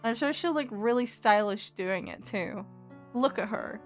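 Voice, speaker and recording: A woman's voice: fairly high pitch, animated, a little slow. A woman from Scotland with a mixed Scottish and Canadian accent, chatting casually while streaming a game and laughing easily. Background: game music.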